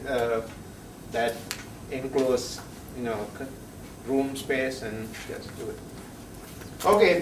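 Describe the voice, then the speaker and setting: A male chemistry professor, lecturing a class in a halting way, with many pauses and frequent checks of "right?". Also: a little high, distant mic, slight background noise. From Sri Lanka. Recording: echoey classroom heard from afar.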